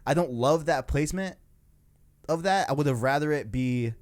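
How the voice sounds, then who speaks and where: A man's voice: a little high, moderate pace. A man chatting informally and ramblingly as host of a gaming podcast, with slangy asides. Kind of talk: casual gaming podcast chat.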